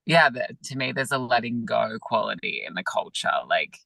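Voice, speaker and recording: A man, a yoga teacher, talking gently and reflectively over a video call, with many pauses and fillers. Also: mid-range voice, gravelly, no room sound. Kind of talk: reflective interview talk.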